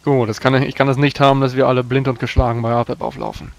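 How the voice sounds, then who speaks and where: A man's voice: medium pitch, level tone, racing, gravelly, lightly acted. A man playing a sober, decisive adventurer in a tabletop game, worried and speaking tersely and directly. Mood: serious, worried.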